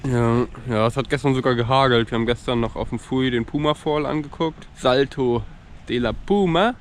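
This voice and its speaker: A man with a medium-pitched voice gives a casual trip update as a kayaker. He is outdoors, with faint ambient noise around him. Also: fairly quick. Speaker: kayaker on an expedition. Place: outdoors at a campsite.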